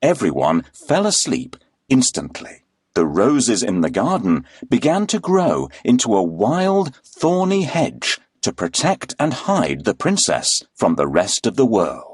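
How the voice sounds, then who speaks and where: Slow, husky, very animated male storyteller. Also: mid-range voice, dry recording, clean studio sound. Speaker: storyteller, narrator. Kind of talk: scripted children's fairy-tale narration.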